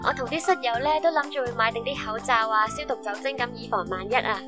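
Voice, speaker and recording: A woman's voice: a little high, quick pace, over loud music, lightly acted. A woman playing a caring, chatty young student abroad in a radio drama, with a Hong Kong Cantonese accent. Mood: concerned. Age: young adult.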